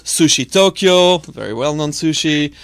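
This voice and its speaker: Smooth-voiced man reading off a list. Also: fairly high pitch, lively intonation, a little slow, fairly bright tone, no room sound. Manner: lists names with many uhs. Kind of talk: casual studio chat. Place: small cluttered radio studio. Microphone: studio mic.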